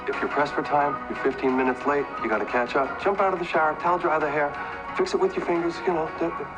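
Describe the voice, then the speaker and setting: Fast-talking man giving testimonial over music. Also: fairly high pitch, poor audio. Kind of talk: casual ad testimonial.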